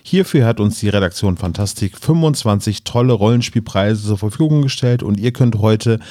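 A man's voice: fairly low pitch, normal speed, mellow, no echo, studio quality. A man speaking as a podcast host in a casual, chatty style.

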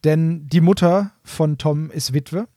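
A man co-hosting a podcast, chatting casually and explaining things in an explanatory way, rephrasing to make points clear. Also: on the high side, slow pace, dark tone, no room sound, clean studio sound. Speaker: podcast co-host. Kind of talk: casual nostalgic chat about audio dramas.

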